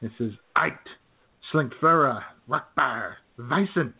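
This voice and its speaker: A man co-hosting a radio comedy show in casual banter, jokey and a little halting, often repeating his phrases. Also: mid-range voice, breathy edge. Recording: muffled phone-like internet radio audio.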